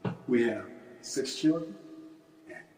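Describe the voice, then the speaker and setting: A man of sixty-two speaking as a minister in a religious lecture and prayer, deliberate and halting, with emphatic starts to his phrases. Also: medium pitch, expressive, a little mellow, faint music behind. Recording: echoey hall, distant microphone.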